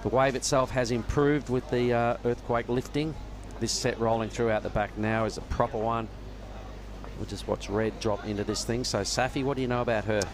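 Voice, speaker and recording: A man giving relaxed, knowledgeable live surf contest commentary from a judging tower. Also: medium pitch, normal speed. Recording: noisy outdoor ambience with traffic.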